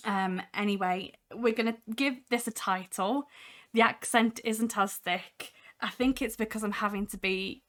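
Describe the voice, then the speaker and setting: A young woman hosting a casual software demo livestream, talking from home with a voice made husky by a cold, chatty with many ums. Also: medium pitch, measured pace. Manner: chatty, many ums, reads chat aloud. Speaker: livestream host.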